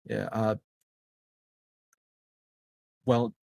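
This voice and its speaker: A man playing a tabletop game, improvising dialogue casually in a hesitant, measured way. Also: mellow, no room sound.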